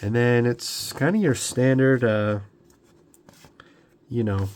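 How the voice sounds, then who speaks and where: Young guy with a stuffy cold, slow rambly talk, lots of ums, faint hiss behind. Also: medium pitch, darkish tone. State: congested from a cold. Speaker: scale model builder. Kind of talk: casual unboxing chat. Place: home hobby workbench.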